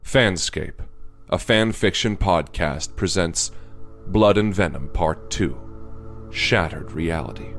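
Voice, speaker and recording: Solemn dark-fiction narrator played by a man, very low voice, unhurried and portentous delivery. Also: faint music behind, full character voice. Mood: grave, brooding. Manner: slow, grave, portentous. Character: solemn, brooding male storyteller of dark fiction. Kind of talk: dramatic scripted prologue narration.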